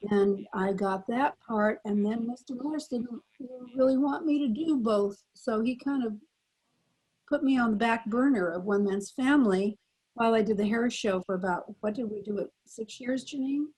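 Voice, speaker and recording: An American woman in her eighties, a former radio actress, casually reminiscing in a panel interview with a husky voice. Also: a little high, moderate pace, darkish tone, rough recording. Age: 80s. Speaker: former child radio actress, convention guest. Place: remote virtual convention call.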